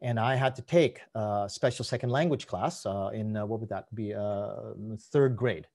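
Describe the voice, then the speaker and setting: A man in his fifties who grew up in Canada, giving an energetic, persuasive online conference talk about a teaching method over a webcam. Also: lowish voice, moderate pace. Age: 50s. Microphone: webcam on a video call.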